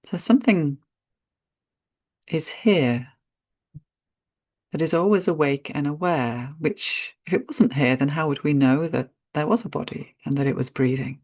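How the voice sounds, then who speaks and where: Female meditation guide, very slow gentle delivery with spacious pauses, dry recording. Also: sits low, no room sound. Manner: unhurried, gentle, spacious pauses between short phrases.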